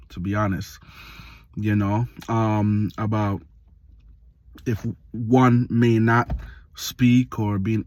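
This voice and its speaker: A man in his late thirties, from Jamaica, giving a reflective casual monologue for his video channel, slow and full of pauses and fillers. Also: medium pitch, no room sound. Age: 30s. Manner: slow, pausing often with fillers. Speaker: vlogger.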